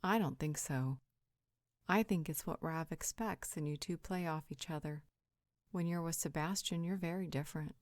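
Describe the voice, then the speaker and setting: A woman's voice: sits low, moderate pace, no echo, natural voice. A woman playing a perceptive, frank confidante in an audio roleplay, reasoning in a direct, insistent way. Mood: persuasive.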